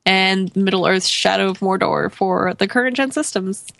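A woman's voice: mid-range voice, normal speed, gravelly, a bit bright, no echo. A sniffly woman co-hosting a casual gaming podcast, chatting and reading out lists in a playful, joking way. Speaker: podcast co-host reading game release dates.

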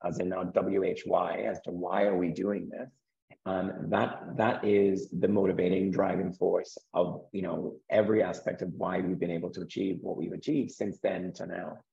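A man with a croaky, gravelly voice speaks earnestly, low and mellow in tone. He often restarts a word or two before he finds his point. The sound is dull and lo-fi. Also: flat delivery, moderate pace. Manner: earnest, repeats words as he restarts. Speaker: guest, property investor. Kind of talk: interview conversation.

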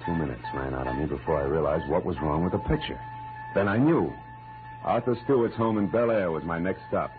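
A man's voice: low voice, animated, a little fast. An American man playing a tough, wisecracking private detective in an old radio drama, clipped and sardonic. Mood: wry, tense narration. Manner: hard-boiled, clipped, sardonic. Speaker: lead actor and narrator. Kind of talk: 1940s-50s radio detective acting. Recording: muffled old radio recording with music.

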